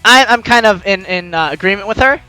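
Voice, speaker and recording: A man playing a visual novel, reading the text aloud with excitable, joking reactions and the odd stumble, over music. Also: high voice, brisk, clear, resonant tone, poor audio. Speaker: let's player. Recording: music behind the voice.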